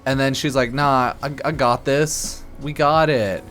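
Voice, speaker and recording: A slightly drunk man giving casual, sarcastic game commentary on a stream, blunt and quick to swear. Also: medium pitch, steady tone, fairly bright tone, soft background music. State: a bit drunk.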